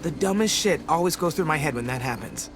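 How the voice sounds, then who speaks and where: Man playing a high-pitched, animated American teenage boy. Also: fairly quick, close to an everyday voice. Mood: wistful. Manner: animated, playfully theatrical. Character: wisecracking, dramatic teenage boy survivor, tender underneath. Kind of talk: video game voice acting, casual dialogue. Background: background music.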